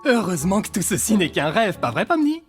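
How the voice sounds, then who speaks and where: A man's voice: high-pitched, very animated, brisk, over loud music. A man voicing a mischievous, cynical cartoon prankster in French dubbing, sarcastic and teasing. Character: mischievous, cynical male cartoon prankster who enjoys others' misfortune. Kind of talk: French-dubbed animated series acting.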